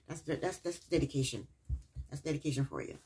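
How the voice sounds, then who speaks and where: A woman's voice: low voice, sing-song, fairly quick, darkish tone, slight background noise. A woman recapping a reality TV episode in a casual, chatty way, with sarcastic asides and repeated punchlines.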